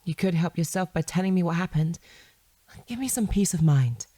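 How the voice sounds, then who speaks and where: An actress playing a composed, probing woman in a naturalistic audio drama, measured, pointed and persistent. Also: fairly low pitch, lively intonation, warm tone, no room sound. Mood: probing, firm. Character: composed, probing woman questioning an evasive man.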